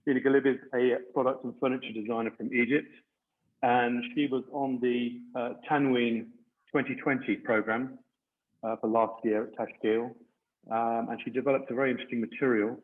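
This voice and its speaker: A man, a design consultant, introducing a guest speaker in a halting way with many fillers. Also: mid-range voice. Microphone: video call. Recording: tinny phone-like call audio.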